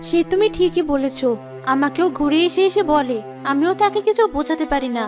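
Woman playing a high-voiced, worried village mother. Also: fairly quick, lightly acted. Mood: concerned. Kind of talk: cartoon dialogue dub. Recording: music under the voice.

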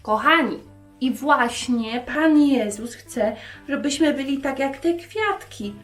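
A woman's voice: sits high, expressive, slow pace. A woman presenting a religious show for young children, telling stories in simple words and addressing them directly. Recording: roomy echo, music behind.

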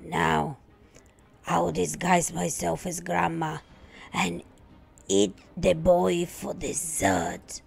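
A woman's voice: on the low side, drawn-out words, vocal fry, breathy edge, soft background music, exaggerated voice. A woman voicing a ferocious, scheming male storybook wolf, sly and savouring every word. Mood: gloating. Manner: sly, savouring his words. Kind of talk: storybook character voice.

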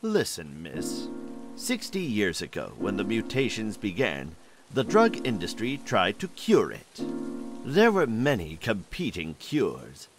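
A self-assured corporate tycoon, played by a man with a lowish voice that rises and falls expressively. He is composed and authoritative, like a cartoon mastermind, with music clearly behind him. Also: wildly swooping pitch, slow pace, full character voice. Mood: calm, smug. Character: cool, self-assured male doctor and pharma tycoon. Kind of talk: animated comic dub.

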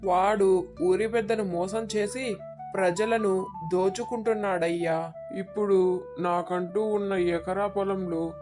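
Guy playing a sad older village farmer in a story, plaintive, really high for a man, music clearly behind. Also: fairly even intonation, takes it slowly. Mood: downcast, plaintive. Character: downcast older village farmer robbed of his oxen. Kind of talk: scripted moral story dialogue.

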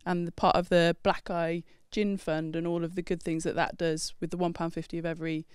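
A woman's voice: lowish voice, level tone, a little fast, darkish tone, clear, resonant tone, no echo, studio quality. Chatty thirties woman on handheld mic. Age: 30s. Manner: chatty, teasing, laughs often. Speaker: podcast co-host. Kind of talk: casual chat. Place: red studio set, indoors.

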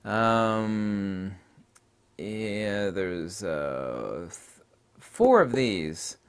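Opinionated middle-aged man with allergy-deepened voice. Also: big pitch swings, extremely slow, clean tone. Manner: emphatic and opinionated, trails off with fillers. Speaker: book reviewer and channel host. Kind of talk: casual book-recommendation monologue. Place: indoor home studio desk.

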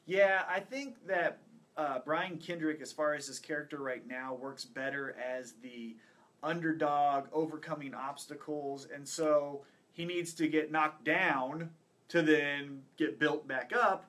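A man in his thirties with a bright, forceful voice pitched a bit high. He talks casually as a podcast co-host, running through picks and reasoning in a conversational way. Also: steady tone, measured pace. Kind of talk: casual wrestling predictions chat. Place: small indoor studio with acoustic foam panels.

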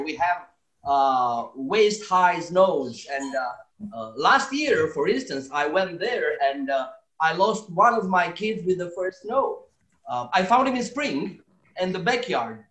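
A middle-aged man from Romania with a Romanian accent, doing deadpan stand-up comedy, chuckling at his own jokes, recorded on a webcam in a small room at home. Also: high voice. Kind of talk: deadpan stand-up comedy and comic song at piano. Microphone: webcam at desk distance. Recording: roomy echo, piano behind.

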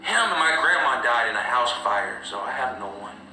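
Man acting young turtle boy, casual, slangy, mischievous, bright tone, strongly echoey puppet-video recording. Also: mid-range voice, expressive, brisk, slight background noise. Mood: matter-of-fact. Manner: casual, slangy, egging his friend on. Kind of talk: comedy puppet skit. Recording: very echoey room, screen-recorded video playback.

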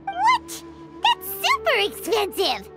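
A woman voicing a tiny, excitable fairy companion girl in a video game, with a squeaky, exclamatory delivery. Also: slow, loud music behind the voice, full character voice. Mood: alarmed. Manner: squeaky, exclamatory, speaks of herself in third person.

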